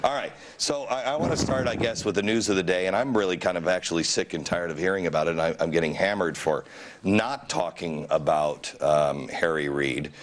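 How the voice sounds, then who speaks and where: A man's voice: sits low, a little fast. A middle-aged male talk show host with a cold, losing his voice, rambling with many restarts as he leads a studio panel. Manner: rambling, self-deprecating, with frequent restarts. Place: television studio with audience.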